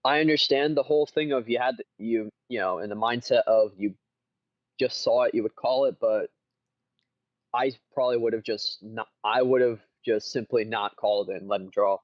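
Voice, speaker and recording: A dull, lo-fi but dry recording of a man co-commentating a card game. He talks casually at an ordinary pitch, in short remarks that he tends to repeat. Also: moderate pace, no room sound.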